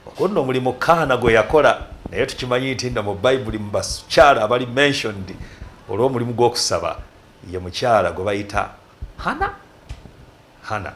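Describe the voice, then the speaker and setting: A man's voice: mid-range voice, animated, fairly quick, fairly bright tone. Guy hosting a church talk show, switches between luganda and english, bit of faint room noise. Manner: mixes Luganda and English, preacherly. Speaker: host.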